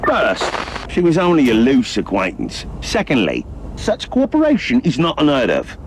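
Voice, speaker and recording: A learned, wry inquisitor from a video game. He speaks formally and precisely at a medium pitch, with animated intonation. There is faint noise behind him. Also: moderate pace. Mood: defensive, informative. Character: wry, learned male inquisitor, self-justifying. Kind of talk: dramatic video game acting.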